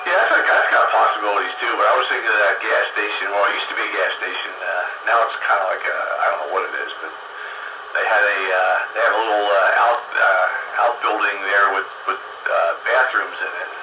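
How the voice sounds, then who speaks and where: An older man chatting casually on the radio, recalling things in a rambling, halting way. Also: fairly low pitch. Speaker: radio show participant. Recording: noisy, muffled, roomy radio transmission.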